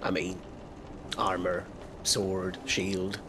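A man's voice: low voice, monotone, drawn-out words, noisy background. A man playing a tabletop game, trading casual banter in character.

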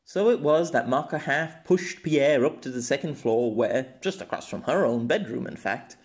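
A man's voice: medium pitch, expressive, normal speed. A man reading scripted story narration expressively as an amateur audiobook narrator.

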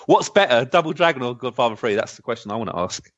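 A man's voice: medium pitch, animated, brisk, muffled recording. A man co-hosting a podcast, chatting casually in a playful, joking way. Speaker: podcast co-host. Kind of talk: casual chat.

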